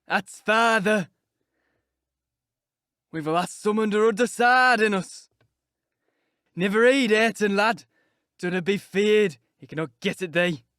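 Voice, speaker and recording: A man voicing a spiteful, mocking old male servant, drawling slowly. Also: high voice, fairly bright tone, dry recording, studio quality, voice pushed up. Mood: jeering. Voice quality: croaking, crackly laugh. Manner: slow, gloating drawl.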